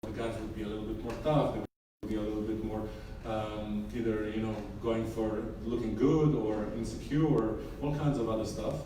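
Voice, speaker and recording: A man's voice: lowish voice, flat delivery, normal speed, hardly any pauses, dark tone. A middle-aged man from Israel, a photographer, giving a casual artist talk about his portraits with lots of fillers and wry humor. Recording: echoey room, mic far off, noisy.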